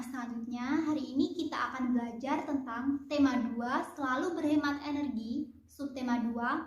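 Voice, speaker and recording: A high-voiced woman teaches a young class, taking her time over each word. The sound is dull and lo-fi, in a room that echoes. She keeps a steady teacher's tone. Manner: slow, clear teacherly talk, sings children's song and solfege. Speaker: primary school teacher. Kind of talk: online class lesson with singing.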